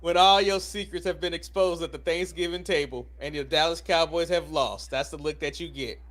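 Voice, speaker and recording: A man's voice: on the high side, fairly even intonation, moderate pace, fairly bright tone. An American man co-hosting a podcast in casual, teasing, profane banter, often repeating words for emphasis. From United States. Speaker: podcast co-host.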